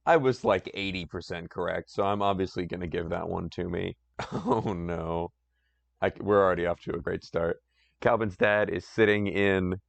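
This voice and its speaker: A man with a deep voice chatting casually on a live stream, rambling and self-deprecating. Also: creaky, no echo.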